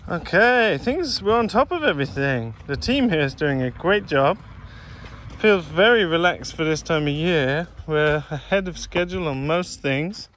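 A man giving a relaxed, chatty tour of his farm, filmed on a handheld phone outdoors and in sheds. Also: fairly high pitch, moderate pace, a little hiss or hum. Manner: relaxed, gentle, chatty with a child. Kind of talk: casual walking farm tour vlog. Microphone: handheld phone camera.

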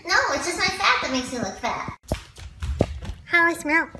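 A woman in her twenties with a very high, childlike voice, doing short comedy sketches in a playful, bubbly way, filmed on a phone held at arm's length. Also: animated, background noise. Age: 20s. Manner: playful, bubbly, quick jokes, swings into squeals. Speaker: comedy video creator. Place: at home, in cars and outdoors. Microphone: phone camera at arm's length.

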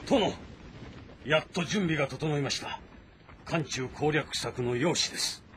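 An actor playing a composed, respectful military strategist who gives measured, formal briefings in a historical game drama. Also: male voice, a little high, a bit bright, a little hiss or hum.

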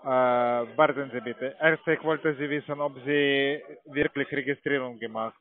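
A male telemarketer with a foreign accent. He speaks in broken grammar at a middling pitch, through a narrow phone line. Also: steady tone, unhurried. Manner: broken, non-native grammar.

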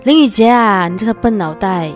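A woman's voice: mid-range voice, lively intonation, fairly quick, faint music behind, natural voice. A woman playing a chatty, devoted young girlfriend who is eager to please, in a radio drama. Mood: self-blaming.

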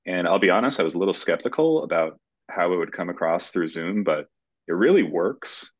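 A man's voice: deep voice, lively intonation, fairly quick, breathy edge. A man of forty talking conversationally as an interviewee over a video call. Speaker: screenwriter being interviewed. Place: indoors in a plain room, on a video call. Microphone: computer video-call microphone. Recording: dull, close, lo-fi video-call audio.

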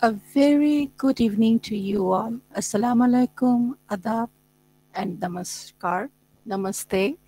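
A middle-aged woman, a Bangla speaker, giving slow, effusive, formal thanks on a video call with a mains hum. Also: on the high side, warm tone, no echo, rough recording. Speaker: guest speaker.

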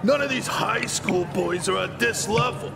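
A man's voice: sits high, moderate pace. An actor playing a sleazy, boastful male gym teacher in a visual novel game. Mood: pushy. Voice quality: creaky. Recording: music clearly behind the voice.

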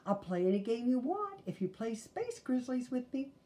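Woman reading as an excitable, high-pitched boy bear. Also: moderate pace. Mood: protesting. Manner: excitable, insistent. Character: eager young boy bear from a picture book, pleading.

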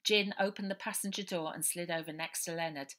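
A woman's voice: lowish voice, fairly even intonation, a little fast, fairly bright tone. A woman in her fifties with an English accent, reading a children's novel aloud expressively. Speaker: author reading own novel. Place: small room indoors at home.

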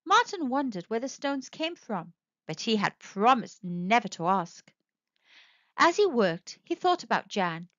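Woman, storybook narrator, expressive, lively pitch, dull dry lo-fi sound. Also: a little slow, no echo. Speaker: storybook reader. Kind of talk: children's read-aloud narration.

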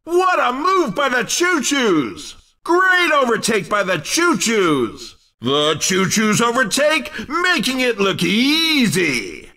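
An exuberant, cheeky kart game announcer, with a very high, bright voice that swings wildly in pitch. He fires off punchy race calls with huge hype. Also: sing-song, takes it slowly, recorded from a distance, full character voice. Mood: excited, admiring. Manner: punchy exclamations, hyped announcer delivery. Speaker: race commentator. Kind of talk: excited video game racing commentary.

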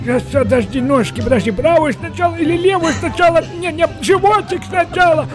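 A woman's voice: very high voice, brisk, over music. A woman voicing cheeky, dramatic talking pets in a comic animal dub, full of exclamations. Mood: boastful, silly. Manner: exclamatory, theatrical.

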